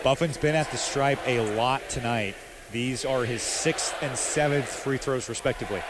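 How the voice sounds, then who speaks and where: A man's voice: mid-range voice, normal speed. An American man giving live color commentary and analysis from a noisy arena broadcast. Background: arena ambience.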